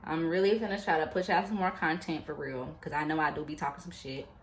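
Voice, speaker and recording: A woman in her late twenties talking to her YouTube viewers in a casual, animated, chatty way, full of fillers. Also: sits low, fast talker, slight background noise. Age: late 20s. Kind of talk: casual motivational vlog. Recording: echoey room, faint ambience.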